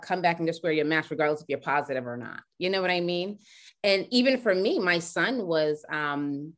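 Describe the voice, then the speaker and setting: A woman hosting a conversational interview, affirming and asking probing questions. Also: on the low side. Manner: affirming, lots of mm-hmms, asks probing questions. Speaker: podcast host.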